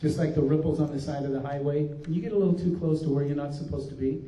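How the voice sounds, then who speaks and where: A man's voice: on the high side, fairly even intonation, quick pace, dark tone, a little hiss or hum. An older man, a pastor, giving an earnest, folksy workshop talk with frequent restarts. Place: conference room. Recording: dull, roomy recording from a distance.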